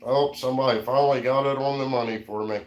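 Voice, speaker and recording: A man's voice: mid-range voice, fairly even intonation, fast, echoey room, lo-fi sound. A man in his forties co-hosting a casual live-stream chat, easygoing and reading out viewer comments. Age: 40s. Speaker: co-host.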